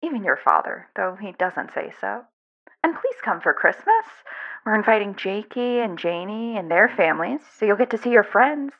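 A woman's voice: medium pitch, very animated, normal speed, vocal fry. A woman playing a doting, chatty, affectionate mother in a fan fiction audio drama, gushing and rambling. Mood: gentle, wistful. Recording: dull lo-fi recording.

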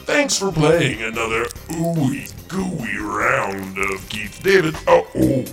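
Theatrical male game show host, swooping pitch. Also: lively intonation, slow, vocal fry, fairly bright tone, recorded from a distance, soft background music. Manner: theatrical, exaggerated announcer delivery. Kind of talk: mock game show hosting, scripted comic narration.

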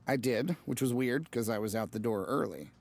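Audio drama casting: mild, luckless office ad man, earnest, downplays everything, expressive intonation. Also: mid-range voice, moderate pace. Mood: sheepish. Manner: mild, sheepish, downplays his mishaps. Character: hapless, earnest low-level ad man, defensively upbeat. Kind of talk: naturalistic audio drama dialogue.

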